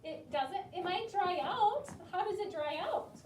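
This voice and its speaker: A woman's voice: very high voice, animated, unhurried. A woman teaching young children in class, putting simple questions to them and repeating their answers encouragingly. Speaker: elementary school teacher leading a class. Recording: roomy, distant classroom recording with clear noise.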